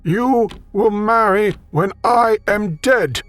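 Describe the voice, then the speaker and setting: A man voicing a cruel, secretive old man on his deathbed, speaking slowly and ominously in an audiobook reading. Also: high-pitched, fairly bright tone, soft background music, pitched above the performer's own voice. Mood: menacing. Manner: slow, drawn-out and ominous.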